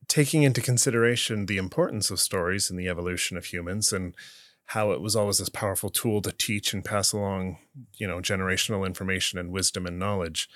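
Host: man with a lowish voice, easygoing questioner, dry booth sound. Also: no echo. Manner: relaxed, conversational questioning. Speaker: podcast host. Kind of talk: casual interview hosting.

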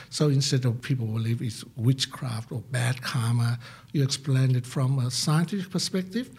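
An older male psychiatrist, answering interview questions slowly with many fillers. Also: mid-range voice, darkish tone, breathy edge. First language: Vietnamese. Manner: halting, many fillers, laughs at himself. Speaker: psychiatrist and senior lecturer.

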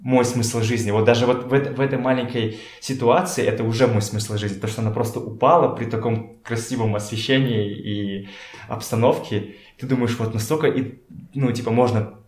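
A man talking casually as a podcast guest, telling stories in a halting way as he searches for words. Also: medium pitch, steady tone, darkish tone. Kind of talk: casual conversational storytelling. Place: indoors in a home. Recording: echoey room.

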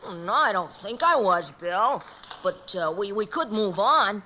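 A sharp, bright young American boy, eager and cheeky. He talks earnestly and full of exclamation in 1950s radio western style. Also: mid-range voice, lively intonation, measured pace, slight background noise, poor audio. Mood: earnest, confiding.

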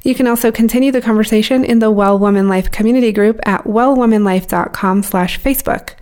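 A woman's voice: on the high side, brisk, darkish tone. A woman hosting a podcast, asking interview questions and reading announcements in an upbeat way. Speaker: podcast host. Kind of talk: interview and sponsor reads.

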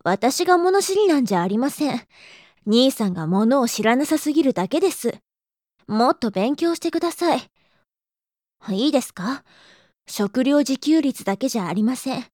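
Audio drama little sister, acted by a woman: high-pitched, formal and exasperated, a lecturing know-it-all, recorded close and dry. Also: expressive, normal speed, darkish tone, no room sound. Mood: exasperated, scolding. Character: prim, scolding know-it-all little sister of hapless brother. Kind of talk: Japanese audio drama acting.

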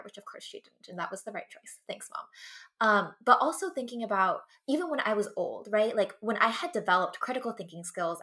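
A woman's voice: medium pitch, bright, forceful tone. A young woman speaking earnestly and reflectively in a casual podcast conversation, often checking in with "right?" and "like". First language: English. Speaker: guest.

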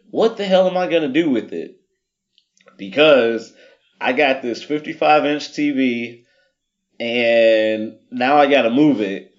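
A man's voice: mid-range voice, darkish tone, dull audio. A man guest on a podcast, telling a story about his weekend in a wry, self-mocking way. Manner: wry, self-mocking, laughs through stories. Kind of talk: casual storytelling.

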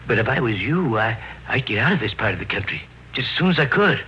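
An actor in an old radio drama playing a superstitious rural caretaker, telling things in a halting, folksy, ominous way. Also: male voice, mid-range voice, big pitch swings, a little hiss or hum, lightly acted. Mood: grimly knowing. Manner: folksy, halting, foreboding storytelling. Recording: muffled vintage radio recording, music behind.

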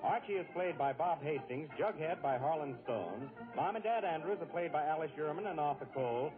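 A man with an American accent announcing and narrating from a script in 1940s radio style. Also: a little high. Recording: tinny 1940s radio broadcast recording. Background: music.

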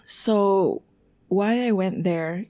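A woman in her thirties from Vietnam, speaking English as an interview guest, recounting her life at an unhurried pace and pausing to find words. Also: mid-range voice, mellow.

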